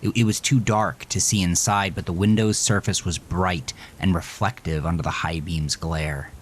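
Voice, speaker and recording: A man narrating a horror story with slow, intense delivery. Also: low-pitched, fairly even intonation, a little hiss or hum.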